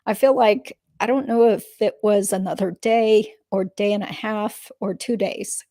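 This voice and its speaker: A woman's voice: mid-range voice, steady tone, unhurried, mellow, dry recording, clean studio sound, lightly acted. A woman reading the part of a guarded witness testifying in a legal deposition. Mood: guarded, matter-of-fact.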